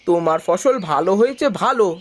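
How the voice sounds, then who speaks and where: High-voiced, principled village farmer acted by a man. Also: lively intonation, a little fast. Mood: mild. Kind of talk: Bengali animated moral tale dialogue.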